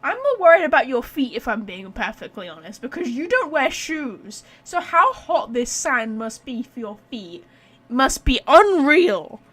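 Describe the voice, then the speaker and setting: A woman's voice: high voice, big pitch swings, measured pace, fairly bright tone. A woman doing casual let's-play commentary as a gaming streamer, blunt, sweary and joking.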